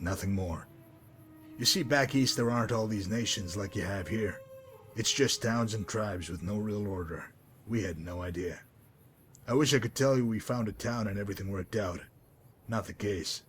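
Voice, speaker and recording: A man playing a calm, menacing hitman with a bitter past in a video game, speaking in a measured, deliberate, coolly condescending way. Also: lowish voice, natural voice. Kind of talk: dramatic video game mod monologue and dialogue.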